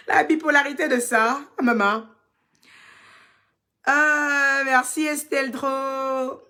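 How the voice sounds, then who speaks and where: A woman's voice: high-pitched, steady tone, very slow, long pauses, sharp tone. Chatty Ivorian woman answering fans. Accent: Ivorian French. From Côte d'Ivoire. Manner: chatty and teasing, calls fans "ma chérie". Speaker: social media personality answering fan questions. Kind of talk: casual live Q&A reading viewer comments.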